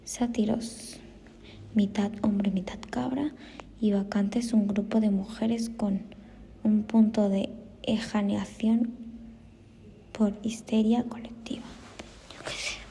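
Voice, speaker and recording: A woman's voice: mid-range voice, fairly even intonation, takes it slowly, creaky, pauses often, dark tone, a little hiss or hum. A woman dictating study notes aloud, often in a whisper, repeating items and spelling words out as she goes.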